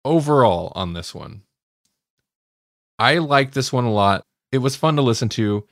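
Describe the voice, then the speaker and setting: A male podcast co-host with an average-pitched voice talks in a loose, conversational way. He offers his opinions informally, like someone in a fan discussion. The sound is dry, with no room echo. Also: expressive, measured pace, fairly bright tone. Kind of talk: casual fan discussion chat.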